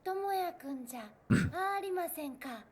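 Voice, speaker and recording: A woman voicing a gentle, earnest and slightly odd teenage schoolgirl in an anime. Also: very high-pitched, lively intonation, brisk, slight background noise. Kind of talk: Japanese anime voice acting. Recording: anime playback with faint music.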